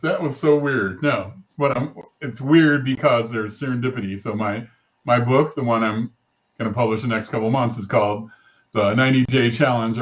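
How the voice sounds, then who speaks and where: A man hosting an interview show, chatty with quick asides. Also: mid-range voice. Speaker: host. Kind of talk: casual interview chat. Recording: dull lo-fi call audio.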